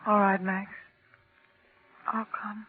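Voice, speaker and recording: A woman's voice: mid-range voice, little pitch movement, takes it slowly, loud music behind the voice, natural voice. An actress playing a frightened, exhausted woman in a pleading 1940s radio melodrama style. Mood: fearful, subdued. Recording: tinny, muffled 1940s radio recording.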